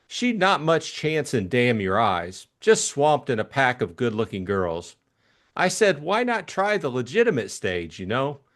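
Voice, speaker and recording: A man's voice: mid-range voice, sing-song, measured pace, fairly bright tone. A man voicing a chatty, eager, simple-hearted young upper-class Englishman in an audiobook, gushing and rambling in slangy talk. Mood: enthusiastic rambling. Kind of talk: audiobook character acting.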